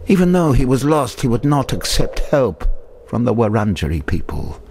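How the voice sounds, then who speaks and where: An actor playing a brooding cab driver who tells grim local history in a slow, measured radio play monologue, with faint noise behind. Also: male voice, mid-range voice, sing-song, darkish tone.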